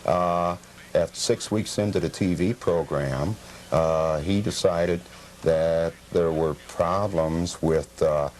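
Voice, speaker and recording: An American man giving informative interview answers as a collector guest, factual and slightly formal in a measured way. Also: sits low, a little mellow. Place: television studio. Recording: noisy, deteriorated old cable TV tape.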